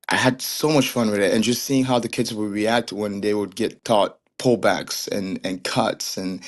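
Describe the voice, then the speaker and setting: A young man from Cameroon speaks as a guest in a relaxed interview, his voice sitting a bit low. He talks earnestly and keeps restarting his sentences with repeated little words. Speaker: guest, soccer trainer and coach. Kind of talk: casual interview chat.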